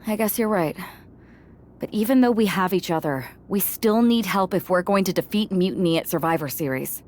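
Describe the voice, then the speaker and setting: A woman's voice: fairly low pitch, normal speed, darkish tone, lightly acted. A woman playing an earnest, determined young wrestler recruiting a team in a video game cutscene. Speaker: pro wrestler character.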